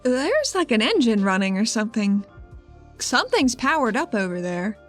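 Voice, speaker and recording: A woman's voice: on the high side, sing-song, moderate pace, faint music behind. A woman playing a tabletop roleplaying game, chatting casually and expressively with a playful way of talking.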